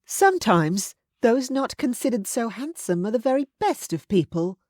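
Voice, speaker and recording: A woman reading aloud voices a sensible young woman, measured and reflective. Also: a little high, big pitch swings, darkish tone, no room sound, clean studio sound, voice pushed up.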